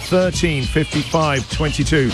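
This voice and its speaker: A man's voice: a little high, music in the background. A man hosting a breakfast radio show, teasing and joking with callers in a playful way. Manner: playful, teasing, quick to laugh. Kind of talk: lively radio banter with callers. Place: radio studio.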